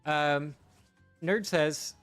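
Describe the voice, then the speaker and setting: A man's voice: fairly high pitch, extremely slow, fairly bright tone, soft background music. A man streaming live, talking through film technique in a casual, musing way with fillers and restarts.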